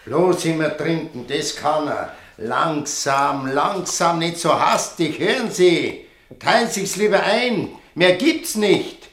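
An actor playing a gruff, scolding prison warder, speaking curtly and colloquially in a radio drama. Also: male voice, a little high, lively intonation, slow, fairly bright tone, roomy sound. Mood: scolding, stern. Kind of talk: German radio drama dialogue.

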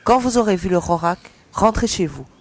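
A man playing a brisk, tough young woman mercenary who gives firm orders in a science fiction audio story. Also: sits high, lively intonation. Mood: firm, reassuring.

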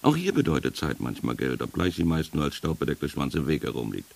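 Casting: extremely deep male voice with gravelly creak, world-weary wanderer, dryly ironic poetic radio narration. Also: wildly swooping pitch, quick pace, no echo, exaggerated voice. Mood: reassuring, instructing. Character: sardonic, world-weary first-person wanderer and guide. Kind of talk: literary radio play narration.

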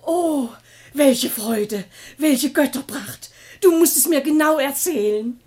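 An excitable mistress of an ancient household. Her voice is very high and shrill. She exclaims and scolds theatrically, as in a comic radio play. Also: slow pace. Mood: excited, delighted. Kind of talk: classical comedy radio drama acting.

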